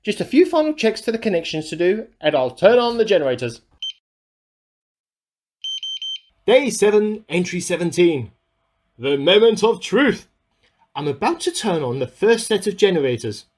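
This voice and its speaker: A man's voice: sits high, very animated, moderate pace. A man playing an eccentric amateur scientist who earnestly records a self-important secret diary in a radio play. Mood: pleased, expectant. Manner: earnest, self-important, musing aloud in dated log entries.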